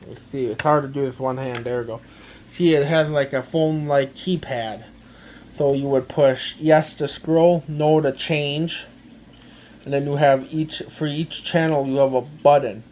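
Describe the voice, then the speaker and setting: A man gives a casual, halting, step-by-step product walkthrough, often repeating himself. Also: fairly high pitch, fairly even intonation, a little mellow, a little hiss or hum. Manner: halting, repetitive, step-by-step explaining. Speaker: amateur gadget demonstrator.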